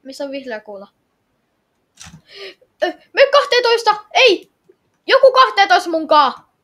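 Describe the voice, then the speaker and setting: Woman gamer, very high voice, excitable, repetitive short callouts during casual online play. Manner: excitable, repeats short phrases and exclamations. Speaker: gamer playing an online game with others. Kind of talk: casual gameplay chatter with excited shouting.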